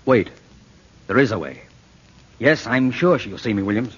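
An actor playing a calm, commanding detective with a husky voice and assured delivery in a 1940s radio drama. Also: male voice, mid-range voice, normal speed, heavy background noise, natural voice. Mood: brisk, assured. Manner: assured, insistent. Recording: dull old radio recording.

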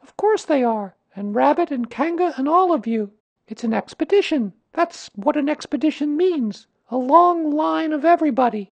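High, creaky little boy. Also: animated, normal speed, dry recording, full character voice. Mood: confident. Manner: airy, matter-of-fact. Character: young boy, fond and confident leader. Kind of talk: children's storybook character reading.

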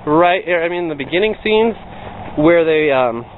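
A man's voice: fairly high pitch, lively intonation, measured pace. Young man vlogging on a trail. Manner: chatty, frequent ums while walking. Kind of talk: casual hiking vlog. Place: outdoor hillside trail. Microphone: handheld camera. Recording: tinny lo-fi camera audio, loud wind noise.

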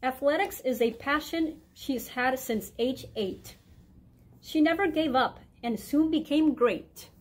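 A middle-aged woman reading a children's picture book aloud in a clear, deliberate storytime way in a library room. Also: high-pitched. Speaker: guest reader, mayor. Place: indoor library room with bookshelves.

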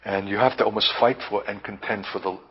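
A man preaching and teaching in a deliberate, emphatic manner with lofty wording, halting now and then to repeat himself. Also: lowish voice, steady tone, slightly rough. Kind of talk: impassioned prophetic sermon, teaching. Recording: dull, lo-fi sermon recording.

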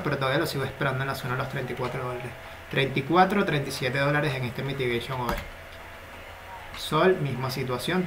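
A man gives a screen-recorded crypto market analysis, talking through each chart in a steady, explanatory way. His voice sits in the middle range for a man. The recording carries clear background noise from his home setup. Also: mid-range voice.

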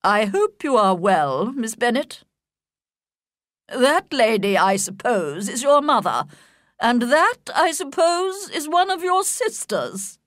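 A haughty older aristocratic lady, put on by a woman narrator, speaks slowly with stiff, commanding emphasis in a storybook reading. Her pitch swings widely as she presses her points, and the recording sounds close and dry. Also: big pitch swings, no room sound. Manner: imperious, slow and emphatic.

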